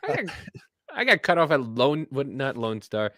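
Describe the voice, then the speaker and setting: A laid-back man hosting a podcast, tossing out jokes and laughing often. His voice sits at a middle pitch, and the close mic picks up no room sound at all. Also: sing-song, normal speed, sharp tone, no echo. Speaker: podcast host. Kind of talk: casual chat.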